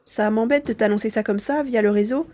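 An earnest young boy, voiced by a woman, speaks with a mellow, rounded tone. The manner is caring and a little worried, in the style of an audio drama. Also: a little high, a little fast, natural voice. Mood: reassuring. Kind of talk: French audio drama acting.

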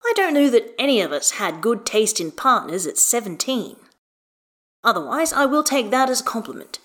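A woman's voice: fairly high pitch, moderate pace, pitched above the performer's own voice. A woman voicing a wry, long-suffering father, dry and a little exasperated, in an audio story. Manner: wry, exasperated, flatly sarcastic.

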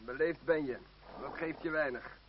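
Bullying sergeant, played by a man, high male voice, curt and commanding; muffled, noisy old radio drama recording. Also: moderate pace, natural voice. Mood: scornful, bullying. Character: gruff, bullying French army sergeant, greedy. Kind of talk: radio play acting.

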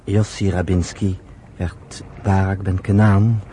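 Earnest male friend in radio drama: lowish voice, thoughtful, patient explainer, clearly noisy old recording. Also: little pitch movement, slow pace, mellow. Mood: earnest, narrating. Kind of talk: Dutch radio play acting.

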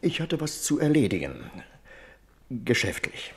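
A man's voice: medium pitch, big pitch swings, unhurried. An actor playing an indignant, nosy male neighbour in a radio crime drama, fussy and hesitant, repeating himself. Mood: evasive.

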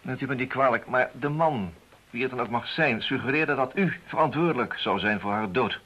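An actor playing a methodical private detective in a radio play, measured and businesslike with a wry edge. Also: male voice, mid-range voice, pushed and bright, breathy edge. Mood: probing. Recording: dull lo-fi old radio sound.

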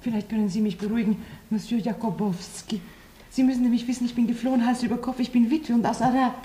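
An actress playing an anxious, lamenting older French widow who is a refugee, in a German radio drama with roomy sound. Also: female voice, a little high, steady tone, fast, mellow, a little hiss or hum, lightly acted. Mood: plaintive, anxious. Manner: fretful, lamenting.

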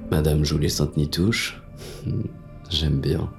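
Actor as very deep, gravelly hunted man. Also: fairly even intonation, slow, darkish tone, soft background music, heavily acted. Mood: lustful, confiding. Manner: crude, colloquial. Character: confused hunted man, crude and lustful, narrating his escape. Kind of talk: first-person audio drama narration with dialogue.

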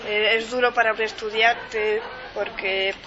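Flat-voiced young Swiss woman, chatty. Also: fairly high pitch, measured pace, highs cut off, noisy background. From Ticino, Switzerland. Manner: conversational, often adding tag questions. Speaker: guest, master's student. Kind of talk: casual radio interview.

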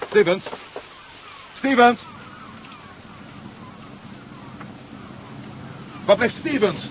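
A man acting a decisive, dry expedition leader with a brisk, commanding manner in a 1970s radio drama. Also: sits high. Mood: urgent. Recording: muffled, noisy 1970s radio recording.

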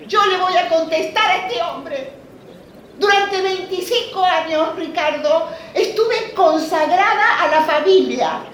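An actress with an Argentine accent playing a defiant, indignant middle-aged housewife in a radio drama. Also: female voice, very high-pitched, takes it slowly. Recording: roomy echo, light background noise.

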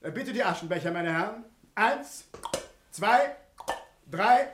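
An actor playing a polite, showy variety juggler in a 1960s German radio crime drama, in an echoey, noisy recording. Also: male voice, sits high, animated, slow pace. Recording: echoey room with clear noise.

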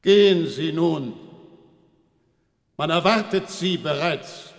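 Radio drama: implacable, formal inquisitor, slow and high-pitched in long reverb. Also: male voice, vocal fry, slight background noise. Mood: solemn, cold. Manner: solemn, formal, authoritative. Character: solemn, implacable male inquisitor presiding in judgement. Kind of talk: German radio drama acting. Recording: echoey hall with long reverb.

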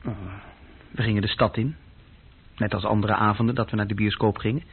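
A man's voice: fairly low pitch, steady tone, fairly quick, creaky, breathy edge, full character voice. An actor playing a restless, self-reproaching young office clerk who tells his story hesitantly and conversationally in a radio play. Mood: reflective, restless. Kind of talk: radio play dialogue and recollection. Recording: thin, phone-like old radio recording.

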